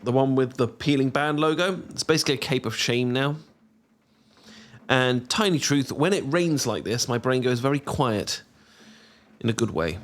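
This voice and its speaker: A young man with a British accent performs an intimate roleplay as if chatting to someone close. His delivery is playful and affectionate, with gentle teasing. He often hesitates bashfully, which makes the scripted lines sound like casual conversation. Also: mid-range voice, normal speed.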